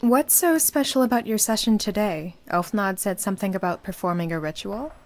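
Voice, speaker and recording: A woman's voice: mid-range voice, a little fast, faint music behind, lightly acted. Woman acting young female necromancer student, mid-range voice, reserved, terse, visual novel character.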